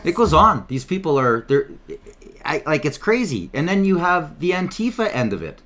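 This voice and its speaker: A man's voice: a little high, sing-song, normal speed, fairly bright tone, soft background music. A man commenting over protest footage in an emphatic, indignant way. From Canada.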